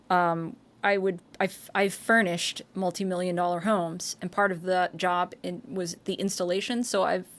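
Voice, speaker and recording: An American woman, a streamer and voice actor, chatting casually and rambling, with frequent restarts, swearing and laughter. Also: on the low side. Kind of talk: casual live-stream chat.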